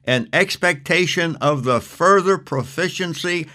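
Bright-voiced male radio host. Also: a little high, measured pace. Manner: brief affirmations while listening. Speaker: radio talk show host. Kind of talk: radio interview.

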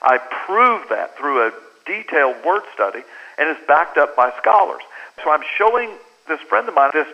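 A man's voice: fairly high pitch, normal speed. An American man, a book's author, telling a story in a radio interview and acting out the voices of the people in it. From United States. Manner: animated storyteller who acts out quoted dialogue. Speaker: author guest. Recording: lo-fi phone line.

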